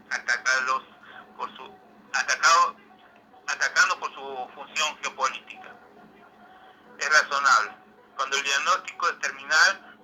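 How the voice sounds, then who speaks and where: Bright, forceful man reading a manifesto. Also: medium pitch, a little hiss or hum, rough recording. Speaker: political commentator. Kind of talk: reading a political manifesto aloud.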